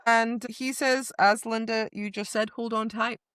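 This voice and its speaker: A woman's voice: a little high, steady tone, a little slow, fairly bright tone, smooth, no echo, studio quality. A woman podcast co-host casually retelling book scenes, slipping into quoted dialogue and repeating words for emphasis. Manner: chatty, acts out quoted dialogue.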